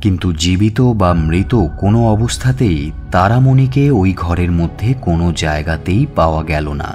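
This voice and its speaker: A man playing a police detective who narrates his investigation in measured, suspenseful audio-story style. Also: low voice, darkish tone, lightly acted. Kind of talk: dramatized scripted first-person narration. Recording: music bed under the voice. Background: background music.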